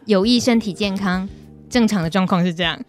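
A woman's voice: medium pitch, a little fast, soft background music. A woman with a Taiwanese Mandarin accent chatting casually as a radio host putting questions to a guest. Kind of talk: casual interview chat.

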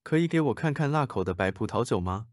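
A man reading short translated sentences for a language lesson in a brisk, even way. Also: medium pitch, no room sound, studio quality. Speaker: translation reader.

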